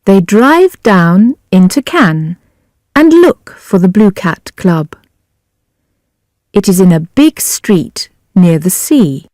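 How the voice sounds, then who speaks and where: A woman narrates a learners' storybook with great care over every word. Her delivery is extremely slow, yet the pitch moves in a lively way. The tone is mellow and the sound is close and dry. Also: no echo. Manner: slow, deliberate, carefully enunciated. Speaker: audiobook narrator. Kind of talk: scripted narration of a graded language-learner story.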